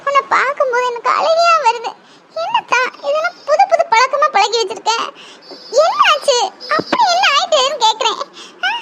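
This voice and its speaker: A woman's voice: very high voice, lively intonation, normal speed, a bit bright. A woman playing a young woman who pleads with her drunk lover, tearful and half-laughing. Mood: pleading.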